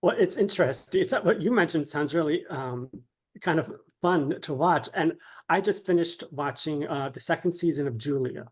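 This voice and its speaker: A man speaking as an interview guest in a casual, polite, mild and somewhat hesitant, measured way. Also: on the high side, husky.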